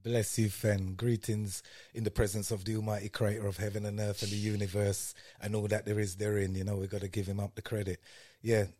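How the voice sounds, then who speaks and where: An older man from Guyana, telling stories as a podcast guest in a warm, devout way. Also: fairly low pitch, little pitch movement, brisk, few pauses. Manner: warm, storytelling, devout greetings. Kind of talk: casual interview.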